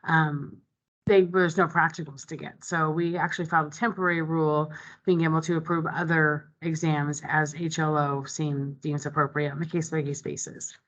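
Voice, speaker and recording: A woman's voice: sits low, little pitch movement, moderate pace, fairly bright tone, poor audio. A woman running an online committee meeting, talking through the agenda in a conversational way with many ums and restarts. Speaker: meeting facilitator. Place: online video call. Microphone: video call microphone.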